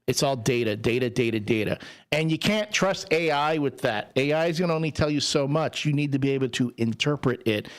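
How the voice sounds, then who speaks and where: A man co-hosting a local radio chat show, animated and quick to laugh, who grew up speaking Spanish first. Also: medium pitch. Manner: animated, laughing, rapid bursts of speech. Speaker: radio co-host. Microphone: studio microphone.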